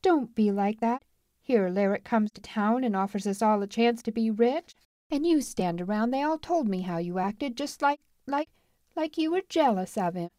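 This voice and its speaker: A woman's voice: fairly high pitch, animated, moderate pace, dark tone, dry recording, voice pushed up, close to an everyday voice. An impatient, smitten young frontier woman as a woman narrator acts her in a western audiobook. The voice is lifted above the narrator's own, and the sound is dry.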